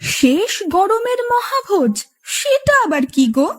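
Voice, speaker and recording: Woman playing a very high-pitched, nagging cartoon wife. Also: very animated, slow pace, full character voice. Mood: curious, teasing. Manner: dramatic, complaining, taunting. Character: nagging, melodramatic wife of a stingy husband. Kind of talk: Bengali cartoon voice acting.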